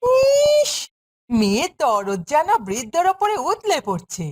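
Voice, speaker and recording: A woman's voice: sits high, wildly swooping pitch, takes it slowly, a little mellow, full character voice. A woman playing a cruel, domineering stepmother in a fairy-tale cartoon, speaking in a harsh, scolding, sneering way.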